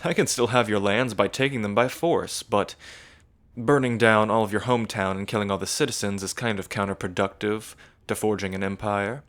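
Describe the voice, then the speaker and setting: A man's voice: on the low side, normal speed, fairly bright tone, close to an everyday voice. A man playing a smug, menacing evil prince in an ASMR roleplay, mocking and condescending, drawling like a theatrical villain. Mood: coaxing threat.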